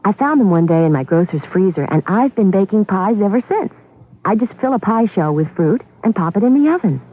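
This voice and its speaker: A woman's voice: mid-range voice, sing-song, a little fast. A woman giving a chatty, homely scripted testimonial in a radio commercial. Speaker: commercial spokeswoman. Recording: dull lo-fi radio recording.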